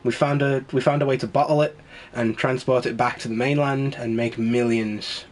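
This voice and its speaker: A man talks through a video game in a loose, rambling way, wry and quick to laugh. He sounds snuffly from a cold. His voice sits at an ordinary middle pitch. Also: steady tone. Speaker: let's play host. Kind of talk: casual gameplay commentary.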